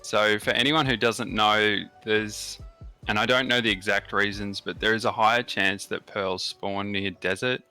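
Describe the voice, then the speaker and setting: A man's voice: lowish voice, fairly even intonation, moderate pace, bright, forceful tone, clear, resonant tone, faint music behind. A man with an Australian accent hosting a strategy game session, explaining settings and bonuses in detail with frequent fillers. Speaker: gaming channel host. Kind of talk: casual gameplay commentary.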